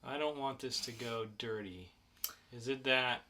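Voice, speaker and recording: A man's voice: medium pitch, measured pace, pushed and bright, slight background noise. A man co-hosting a podcast in casual banter, with a playful game-show announcer flair and some swearing. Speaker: podcast co-host.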